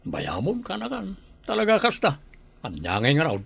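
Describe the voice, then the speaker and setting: An actor with a Filipino accent playing a stubborn, carefree older bachelor uncle in a radio drama, drawling and dismissive. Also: male voice, on the high side, huge pitch swings, moderate pace, vocal fry, fairly bright tone, husky, full character voice.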